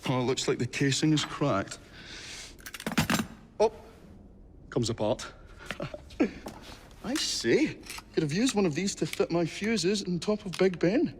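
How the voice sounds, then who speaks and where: An actor playing an earnest, courteous and easily flustered Victorian inventor in a video game. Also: male voice, fairly high pitch, expressive, takes it slowly, long pauses, noisy background. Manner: flustered, hesitant, old-fashioned polite. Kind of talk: video game cutscene acting.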